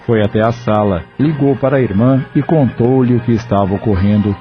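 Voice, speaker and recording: A man narrating an audio novel in a measured, grave manner. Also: mid-range voice. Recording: muffled lo-fi audio with music.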